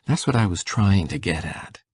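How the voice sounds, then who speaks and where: An actor playing a shy, kindly older farm man who talks in a halting, hushed, rustic way in a dramatised storybook reading. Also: deep voice, animated, breathy edge, no room sound, heavily acted. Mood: eager, gentle. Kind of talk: dramatised audiobook acting.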